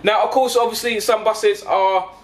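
High-pitched young man, halting delivery. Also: steady tone. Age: 20s. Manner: halting, repetitive, with frequent check-in tags like "okay?" and "right?". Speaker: bus driver and vlogger. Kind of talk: casual explanatory vlog addressed to passengers. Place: inside a parked double-decker bus. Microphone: handheld camera at arm's length. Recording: background vehicle noise inside a bus.

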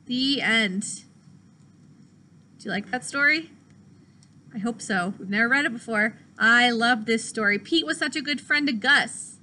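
Young female primary teacher for story time: high, bright, expressive and deliberate, classroom recording with faint noise. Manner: expressive, deliberate, child-directed. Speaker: teacher reading a picture book. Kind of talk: children's read-aloud. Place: small classroom, indoors.

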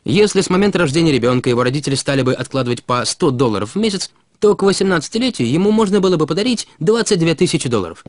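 A man reading a scripted educational explainer in a measured, instructive way, as a narrator for an animated film. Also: on the high side, dry recording, studio quality. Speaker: narrator of an educational cartoon about personal finance. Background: background music.

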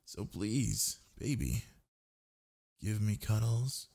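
A man playing a sleepy, needy gamer boyfriend in an intimate audio roleplay, coaxing in soft, breathy murmurs. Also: on the low side, heavily acted. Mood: pleading, coaxing. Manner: coaxing, murmuring, drawn-out words.

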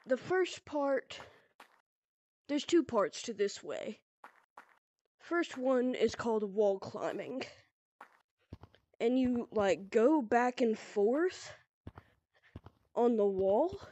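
Slow, stuffy-nosed young gamer girl. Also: on the high side, a little mellow, no room sound. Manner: loose and rambling, heavy on "pretty much" and "like". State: stuffy from a cold. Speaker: VR gaming tutorial creator. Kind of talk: casual game tutorial narration.

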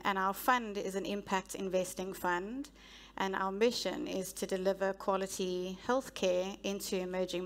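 A South African woman in her thirties, a procurement head answering interview questions on a conference stage in a measured, businesslike way with frequent ums, through a headset mic. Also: medium pitch. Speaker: guest speaker, head of procurement. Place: stage of a large conference hall.

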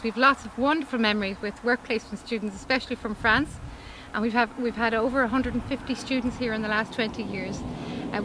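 A woman's voice: on the high side, background noise. A woman in her forties from Ireland, an organic farmer talking upbeat and chatty in an outdoor interview, often restarting her sentences. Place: outdoors in a vegetable field. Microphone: camera mic, standing interview.

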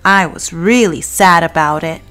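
A woman narrating a children's picture book in an expressive, deliberate storytelling manner. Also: lowish voice, clean tone. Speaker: children's read-aloud narrator. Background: faint music.

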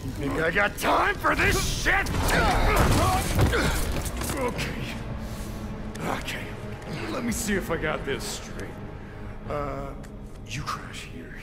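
An actor playing a menacing, power-crazed middle-aged prison guard in a video game, taunting and swearing. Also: male voice, on the high side, wildly swooping pitch, slow, vocal fry, long pauses, loud music behind the voice, full character voice. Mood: taunting, enraged. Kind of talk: video game cutscene acting.

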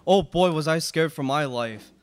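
A man's voice: fairly high pitch, moderate pace, clean tone, dry recording, close to an everyday voice. A young man playing a prim, pompous, easily frightened aristocrat in a student radio play, speaking formally. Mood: pompous, flustered. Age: young adult. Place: small indoor studio. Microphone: close stand microphone.

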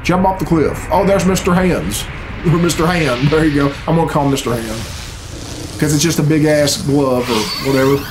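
Higher-pitched man grumbling through a reaction. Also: moderate pace, background noise. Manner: blunt, sarcastic, profane grumbling. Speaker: reaction video host. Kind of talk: casual reaction commentary. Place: small bedroom at home, indoors. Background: game cutscene music.

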